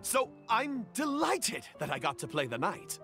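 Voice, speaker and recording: High-pitched, earnest hapless young knight. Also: male voice, wildly swooping pitch, a little slow, pushed and bright, faint music behind, full character voice. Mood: proud, earnest. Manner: earnest, theatrical. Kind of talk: anime-style video game dialogue.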